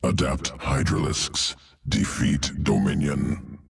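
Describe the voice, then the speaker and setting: A man's voice: rumbling low, fairly even intonation, slow pace, heavily acted. A man playing an ancient, cold, menacing alien creature in a video game, with a guttural voice and clipped pronouncements. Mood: clinical, detached. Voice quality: gravelly, guttural. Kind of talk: video game cutscene acting.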